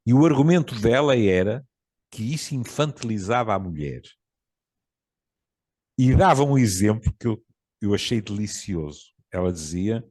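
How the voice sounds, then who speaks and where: A man in his seventies with a European Portuguese accent, telling stories in a relaxed conversation, reflective and pausing often. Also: medium pitch. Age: 70s. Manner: storytelling, reflective, with frequent pauses and fillers. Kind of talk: casual conversation.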